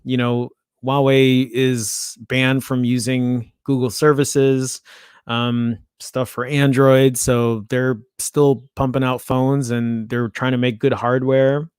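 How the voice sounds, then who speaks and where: A man with a Chicago accent hosts a loose tech news show. He reads the article aloud, then drifts into offhand asides and trips over words now and then. His voice sits at an ordinary middle pitch, recorded close and dry with no room sound. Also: flat delivery, takes it slowly, darkish tone, clean tone, dry recording. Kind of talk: casual tech news commentary.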